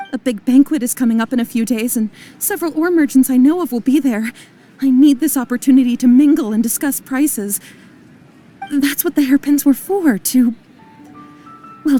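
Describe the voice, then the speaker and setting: A woman's voice: on the high side, mellow, natural voice. A woman voicing an anxious young merchant woman in an anime game, hesitant and plaintive.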